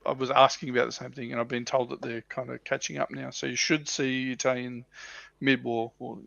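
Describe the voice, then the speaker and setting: Gravelly man, dull close-up sound. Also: mid-range voice, flat delivery, a little fast, fairly bright tone, dry recording. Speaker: livestream co-host. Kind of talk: casual chat about tabletop miniature wargaming.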